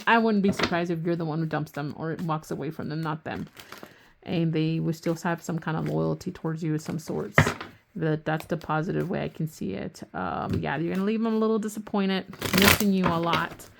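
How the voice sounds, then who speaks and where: Woman tarot reader, low-ish pitch, gravelly voice going hoarse, loose rambling delivery with casual swearing. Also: very noisy. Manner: rambling, often restarts sentences. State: losing her voice. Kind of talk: casual tarot reading to camera.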